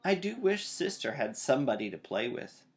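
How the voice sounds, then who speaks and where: A man's voice: medium pitch, big pitch swings, moderate pace, faint music behind. Man reading as a lilting, kindly mama bear. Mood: concerned. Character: concerned, kindly mother bear.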